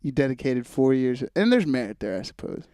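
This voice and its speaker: A man's voice: medium pitch, big pitch swings, fairly quick, warm tone, clear, resonant tone, no echo, clean studio sound. A man of twenty-five chatting casually as a podcast host, thinking aloud in a wry, hesitant way. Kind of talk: casual conversational podcast chat.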